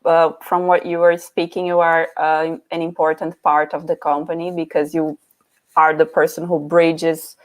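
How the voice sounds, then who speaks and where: A woman hosting an interview over a video call, asking questions in a halting way with many fillers and restarts. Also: sits low, flat delivery. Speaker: host.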